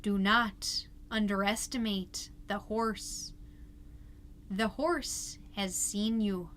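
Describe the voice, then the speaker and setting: Woman as a hushed, ominous inspirational reader. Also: mid-range voice, fairly even intonation, a little hiss or hum. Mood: solemn, ominous. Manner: hushed, deliberate, portentous. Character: solemn, ominous reader of mock-inspirational horse essay. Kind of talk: mock-solemn dramatic reading.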